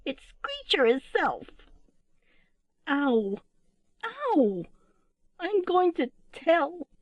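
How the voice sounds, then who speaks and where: A woman voicing squeaky little baby owls that whine and bicker childishly in a storybook. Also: very animated, slow pace, dry recording, muffled recording, full character voice. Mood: squabbling, complaining. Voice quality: whining, squeaky. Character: whining, squabbling baby owls, small and squeaky.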